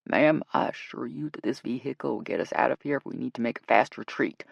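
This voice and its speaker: Woman voicing a low, stern male wilderness guide. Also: brisk, dry recording, highs cut off, exaggerated voice. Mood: firm, reassuring. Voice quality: raspy. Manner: firm, formal, self-serious. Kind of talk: audiobook character acting.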